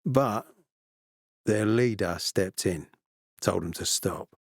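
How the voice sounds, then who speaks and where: A male actor playing a stern, ambitious military commander in a video game, speaking in a measured, authoritative and formal way. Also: lowish voice, vocal fry, no echo, studio quality, full character voice. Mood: reflective. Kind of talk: video game dialogue acting.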